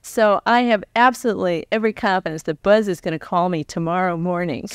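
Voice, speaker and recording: American woman, forties, interviewer, very smooth clear voice, mid pitch, chatty, dry close microphone. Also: brisk, no echo. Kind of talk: conversational live interviewing. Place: on stage before a live audience. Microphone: large microphone on a stand, close.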